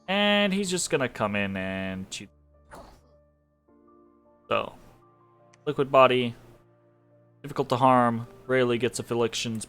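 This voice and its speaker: A young man talks through a strategy game in a loose, rambling way, full of fillers and quick laughs. His voice is congested and a bit sniffly from allergies. Faint music plays behind him. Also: mid-range voice, a bit bright. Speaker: game commentator. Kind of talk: casual gameplay commentary.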